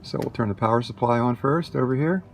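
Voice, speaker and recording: Unhurried man demonstrating gear, slightly low voice. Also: creaky. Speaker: vintage radio collector demonstrating a set. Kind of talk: casual hands-on demonstration. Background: vintage radio playing music and broadcasts.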